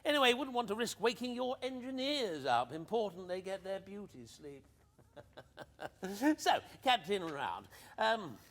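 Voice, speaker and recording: A man's voice: high voice, sing-song, measured pace, fairly bright tone, full character voice. An actor playing a breezy, cheeky upper-class English ex-army captain in a radio play, with hearty old-boy banter. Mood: jovial, cheeky.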